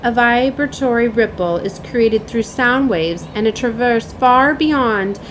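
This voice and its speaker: A woman with a fairly high voice delivers a spiritual oracle reading. She speaks in a deliberate, solemn way, as if guiding the listener. Slight noise sits behind her voice. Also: low-quality recording. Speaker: tarot and oracle card reader. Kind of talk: spiritual reading, read aloud with asides. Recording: clear background noise.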